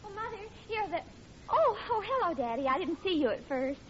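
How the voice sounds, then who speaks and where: An actress playing a breezy, affectionate teenage daughter in an old radio comedy. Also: female voice, extremely high pitch, very animated, brisk, fairly bright tone, full character voice. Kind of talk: 1940s radio comedy acting. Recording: muffled old radio with some noise.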